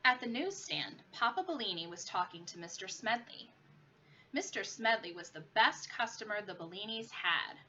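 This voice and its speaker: Female teacher for children's read-aloud: bright, very lively intonation, slightly muffled lo-fi recording with noticeable noise. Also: medium pitch, moderate pace. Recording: muffled lo-fi with clear background noise.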